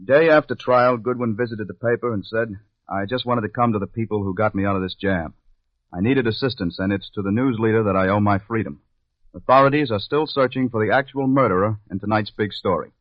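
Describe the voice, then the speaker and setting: A man plays a newspaper reporter in a 1950s radio drama, calm and measured. His fairly low voice sits close and dry in muffled vintage broadcast sound. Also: dry recording, close to an everyday voice. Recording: dull old radio recording.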